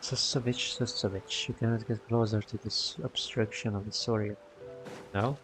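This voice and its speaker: A man's voice: on the low side, fairly even intonation, a little slow. Man muttering through casual game commentary. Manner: muttering to himself, repeating phrases, puzzled asides. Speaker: game streamer. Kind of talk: casual gameplay commentary, reading quest text aloud. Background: game music.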